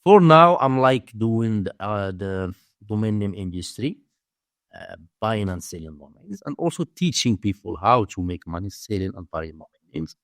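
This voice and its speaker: Low-voiced Moroccan man, halting English. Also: big pitch swings, no room sound, studio quality. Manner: halting, searching for words in non-native English. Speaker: interview guest, domain investor and teacher. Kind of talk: conversational interview answers.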